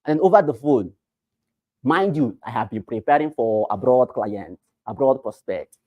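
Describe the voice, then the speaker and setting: A man with a Nigerian accent leads a classroom session for realtors and drives each point home by repeating it. He swings from loud bursts to quiet asides and keeps asking rhetorical questions. His voice sits at a middling pitch and is recorded dry, with no room echo. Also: expressive, a little slow, dull audio. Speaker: real estate trainer. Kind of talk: classroom training talk.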